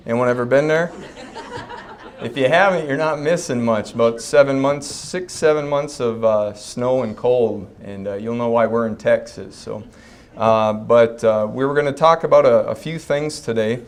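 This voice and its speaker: A man's voice: mid-range voice, normal speed. A man, a chiropractor giving a folksy, joking talk to an expo audience. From Fargo, North Dakota. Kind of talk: public talk at a health expo. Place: expo breakout session room before a live audience.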